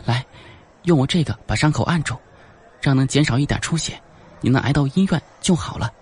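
A man's voice: mid-range voice, fairly quick, darkish tone, husky, pitched above the performer's own voice. A man voicing a kind but wary young woman in an audiobook, with a concerned, questioning manner. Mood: concerned, caring. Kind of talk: audiobook character acting.

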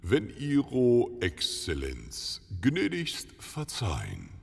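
A very slow, gravelly butler. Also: male voice, medium pitch, huge pitch swings, heavily acted. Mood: deferential. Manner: obsequious, drawn-out. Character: deferential, pompous castle butler, deep and gravelly. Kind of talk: fairy-tale audio comedy acting. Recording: long reverberant hall sound.

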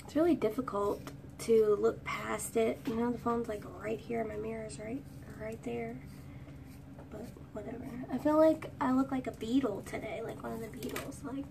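A young woman in her twenties with a high, girlish voice, chatting and rambling while doing her hair for a vlog, recorded on a phone at arm's length in a small room. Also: fairly even intonation, normal speed, creaky, a little mellow, a little hiss or hum. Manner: rambling, sings snatches of songs. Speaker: vlogger. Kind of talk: chatty get-ready-with-me storytime. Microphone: phone camera at arm's length. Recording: distant phone mic, faint room ambience.

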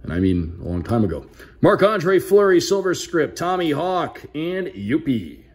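A man's voice: fairly high pitch, sing-song, measured pace. A man doing casual unboxing commentary with an upbeat, announcer-like delivery, calling out names like a sports broadcaster. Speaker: hockey play-by-play broadcaster hosting a card unboxing.

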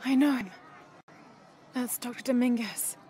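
A woman's voice: fairly high pitch, measured pace, warm tone, a faint crowd, a little hiss or hum, close to an everyday voice. A young woman playing an earnest, determined adventurer in a video game, naturalistic and restrained. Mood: puzzled, wary.

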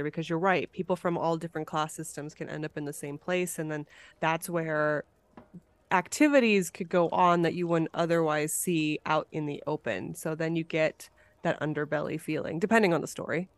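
Clear, smooth-voiced woman hosting a podcast. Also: low-pitched, a little fast, no echo. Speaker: podcast host. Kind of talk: casual podcast discussion.

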